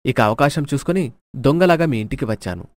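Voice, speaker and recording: A man's voice: mid-range voice, animated, brisk, no room sound, lightly acted. Man playing a remorseful thief, close dry sound. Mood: earnest, regretful. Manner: earnest, explanatory, apologetic. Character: remorseful, earnest male thief telling his hard story.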